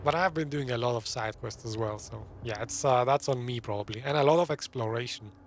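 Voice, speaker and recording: A male gaming streamer talks casually over his playthrough. His voice is middle in pitch and his manner chatty and reactive. Faint game ambience plays behind him. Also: brisk, a little hiss or hum. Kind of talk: casual live playthrough commentary. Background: video game ambience.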